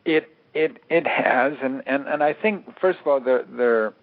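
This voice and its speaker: An older American man giving considered, analytical interview answers in a halting, deliberate way, repeating words for emphasis. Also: medium pitch, dry recording. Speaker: interview guest, author and security analyst. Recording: narrow tinny phone line.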